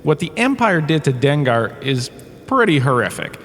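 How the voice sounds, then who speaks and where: A man's voice: on the high side, very animated, moderate pace, fairly bright tone. Casting: male actor as washed-up drama star turned lecturer, wry conversational storyteller for comedy audio drama; long hall reverb, faint noise behind. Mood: wry storytelling. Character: washed-up holodrama star lecturing on bounty hunters, chatty, exasperated. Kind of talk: comedic scripted lecture narration.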